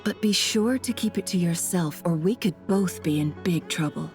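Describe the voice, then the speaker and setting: Woman acting a composed, low-voiced shrewd traveler. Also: moderate pace, a little mellow, natural voice. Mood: confiding. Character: shrewd woman traveler posing as antiques smuggler. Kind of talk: video game voice acting. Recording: music under the voice.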